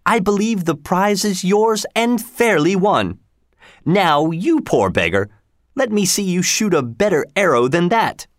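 A man reading a storybook, voicing a pompous, proud male sheriff in a raised, haughty pitch. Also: sing-song, unhurried, no echo, clean studio sound. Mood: boastful.

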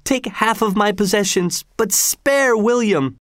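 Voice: mid-range voice, measured pace, no echo, studio quality, voice pushed up, lightly acted. A reader doing a desperate, imploring old grandfather. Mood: desperate pleading. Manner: imploring, dignified.

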